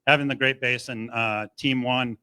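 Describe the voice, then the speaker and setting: Man, clear bright voice, flat delivery, forest official addressing residents. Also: mid-range voice, normal speed. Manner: appreciative, loose, rambling. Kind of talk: public briefing at community meeting. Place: community meeting room.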